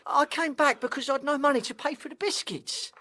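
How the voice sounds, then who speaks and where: A woman's voice: high voice, fairly quick, pushed and bright. A woman playing a nervous, chatty young apprentice boy in a period audio drama, hesitant and deferential. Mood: nervous, flustered.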